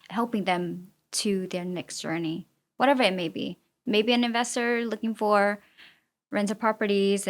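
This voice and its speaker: A woman who is a native Chinese speaker, answering interview questions as a real estate agent in an earnest, halting way. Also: mid-range voice. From immigrated with a Chinese-speaking family. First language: Chinese (Mandarin and Fujianese).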